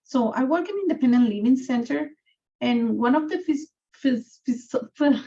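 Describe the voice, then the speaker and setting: A woman's voice: sits high, expressive, normal speed, dark tone, muffled recording. A woman from Guatemala with a Guatemalan Spanish accent, speaking English as a second language as she talks earnestly and at length about her advocacy work. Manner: earnest and talkative, with non-native grammar. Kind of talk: video-call community conversation. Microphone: video call.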